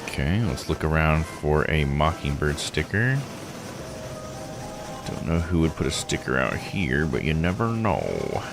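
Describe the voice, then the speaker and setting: A man's voice: extremely low pitch, big pitch swings, measured pace, gravelly, music in the background. A man giving casual, laid-back game commentary as a streamer, musing aloud as he plays. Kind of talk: casual let's-play commentary.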